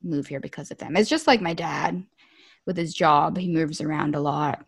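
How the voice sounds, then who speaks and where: A woman's voice: low voice, normal speed, creaky, breathy edge. A young woman who grew up in Malaysia, chatting casually as a guest in a discussion. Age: young adult. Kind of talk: casual chat.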